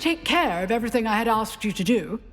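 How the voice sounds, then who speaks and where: An actress playing a brisk, authoritative female magic professor in a video game, formal, instructive and encouraging. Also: on the high side, expressive, faint music behind, close to an everyday voice. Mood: instructive, approving. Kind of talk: video game character acting. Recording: long reverberant hall sound.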